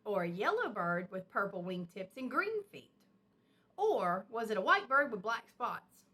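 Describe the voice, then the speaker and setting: A woman's voice: mid-range voice, big pitch swings, unhurried, bright, forceful tone, exaggerated voice. A woman voicing a puzzled father bear in a children's storybook, questioning and emphatic. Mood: confused, suspicious.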